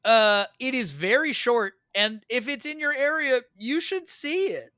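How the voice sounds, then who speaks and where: A man's voice: very high-pitched, lively intonation, measured pace, bright, forceful tone, clear, resonant tone, no room sound, clean studio sound. A man with an American accent telling casual stories as a podcast guest, emphatic and playfully exaggerated. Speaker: podcast guest, theater performer. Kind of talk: animated casual storytelling.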